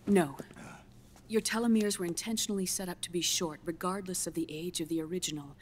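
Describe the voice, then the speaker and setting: A woman playing a grave, earnest scientist in a video game cutscene, speaking in a measured, deliberate, clinical way with breathy pauses. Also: fairly low pitch, steady tone, slight background noise, close to an everyday voice. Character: grave, earnest female scientist explaining grim news gently.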